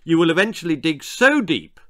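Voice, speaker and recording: A man voicing a stern, angry father in a children's story, growling out weighty, drawn-out commands. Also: on the high side, big pitch swings, fairly bright tone, dry recording, clean studio sound, pitched above the performer's own voice.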